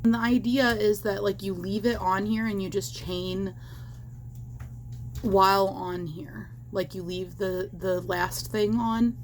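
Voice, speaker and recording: Female crochet vlogger, a little hoarse, chatty and rambling, with a faint hum behind her. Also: mid-range voice, steady tone, slow pace. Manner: rambling and chatty, with many fillers and little laughs. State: slightly hoarse, losing her voice. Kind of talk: casual crochet tutorial chat. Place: at home.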